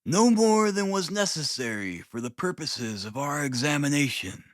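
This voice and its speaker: A man's voice: on the high side, lively intonation, normal speed, dry recording, studio quality, natural voice. A man voicing a plain-spoken, deferential police detective in an audiobook, clipped and emphatic. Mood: earnest, baffled.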